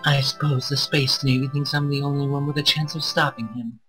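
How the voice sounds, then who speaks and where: A man's voice: medium pitch, flat delivery, brisk, fairly bright tone, soft background music, lightly acted. A man playing a weary, reluctant male space captain in a visual novel read-aloud. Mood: wary.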